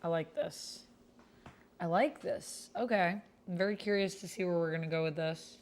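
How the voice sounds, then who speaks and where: A woman with a slightly low, soft-edged mellow voice hosts a livestream of a text roleplay game. She talks straight to her viewers in a chatty way and moves between casual remarks and reading game posts aloud. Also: normal speed. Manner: chatty, addresses viewers directly. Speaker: streamer hosting a tabletop roleplay game.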